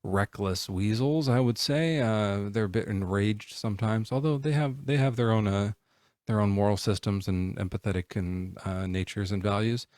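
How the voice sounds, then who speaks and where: A man in his forties hosting a live stream, chatting casually in a halting, rambling way with lots of fillers. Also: fairly low pitch, a little mellow, no echo, clean studio sound. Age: 40s. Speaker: livestream host.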